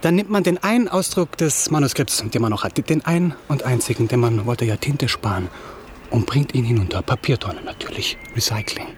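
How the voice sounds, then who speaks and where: A man's voice: mid-range voice, lively intonation, brisk, close to an everyday voice. A man plays a self-pitying young author in a radio drama. His mid-range voice rambles on, distraught, tripping over repeated words. Faint ambient noise lies behind it. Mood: dazed, rambling. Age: young adult. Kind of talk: German radio drama acting.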